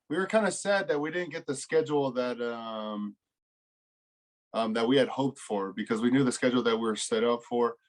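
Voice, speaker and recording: A man in his twenties from California with an American accent, giving casual, laid-back interview answers with frequent pauses. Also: mid-range voice. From California, United States. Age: 20s. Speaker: college football player, interview guest.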